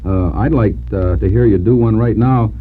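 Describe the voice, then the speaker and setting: A man working as a radio disc jockey, interviewing in an informal, halting, admiring way in 1950s radio style. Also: on the low side, narrow, tinny audio, slight background noise. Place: radio studio. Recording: band-limited 1950s radio recording, music.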